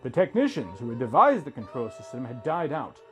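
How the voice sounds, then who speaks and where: A man reading a scripted first-person story as a dramatic narrator, in a theatrical, deliberate manner. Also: mid-range voice, big pitch swings, over music.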